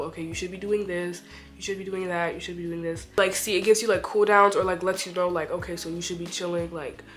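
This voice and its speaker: A young woman talks in a loose, chatty vlog style, dropping "like" into nearly every sentence. Her voice is stuffy and congested from a cold and sits a little low. Faint music plays behind her. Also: a bit bright. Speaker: vlogger. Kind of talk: casual chat.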